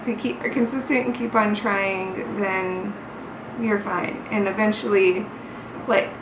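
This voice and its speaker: A woman's voice: mid-range voice, steady tone, measured pace. A woman chatting casually in a study vlog, informal and self-deprecating, with an encouraging way of talking. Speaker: vlogger learning a language. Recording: roomy echo, clear background noise.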